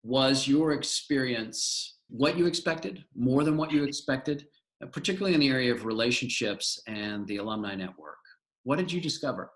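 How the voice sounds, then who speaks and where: A middle-aged man leads a live panel discussion. He speaks enthusiastically, often doubling words as he builds a question. His pitch is ordinary, in the middle range. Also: moderate pace. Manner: enthusiastic, repeats words while searching. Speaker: panel moderator, alumnus.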